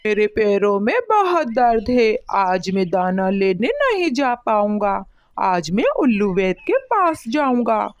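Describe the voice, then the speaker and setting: A woman's voice: medium pitch, very animated, unhurried, dry recording, dull audio. A woman voicing a hardworking, fed-up male crow husband in a Hindi cartoon story, complaining emphatically. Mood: firm. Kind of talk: Hindi animated moral story dialogue.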